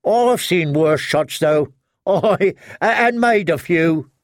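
A man voicing a gloomy, mournful soldier with a dry, drawn-out way of talking. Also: a little high, animated, no echo, pitched above the performer's own voice. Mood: wry. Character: gloomy, mournful man of the watch, middle-aged.

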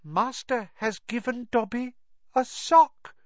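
A man voicing a small, devoted male house-elf servant in an audiobook, shrill and emphatic, speaking of himself in the third person. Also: takes it slowly, no room sound, dull audio. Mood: awed disbelief. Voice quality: shrill, squealing.

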